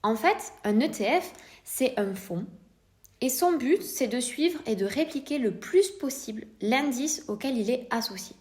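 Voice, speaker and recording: A woman's voice: on the high side, lively intonation, unhurried, darkish tone. A woman with a French accent hosting a podcast, explaining in a scripted, didactic way while addressing the listener informally with rhetorical questions. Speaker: podcast host, private investor.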